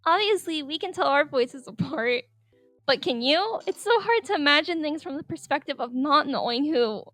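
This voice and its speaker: A woman casually narrating her drawing process over a speedpaint, chatty and giggly, rambling with lots of filler words, speaking higher than her usual voice. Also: animated, normal speed, dry recording. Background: soft background music.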